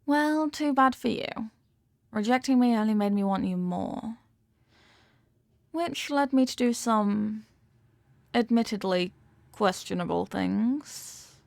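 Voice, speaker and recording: A woman's voice: on the high side, animated, takes it slowly, creaky, pauses often, darkish tone, dry recording, clean studio sound, heavily acted. A woman acting a possessive, manipulative rich young girlfriend in an intimate audio roleplay, talking down in a coaxing, teasing way with veiled threats. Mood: exasperated, possessive.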